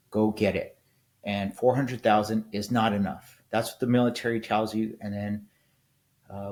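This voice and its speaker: A man in his fifties, a military veteran hosting a casual solo podcast, talking bluntly and rambling, often ending points with "right?". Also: fairly low pitch. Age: 50s. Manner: blunt, rambling, frequent restarts and "right?" tags.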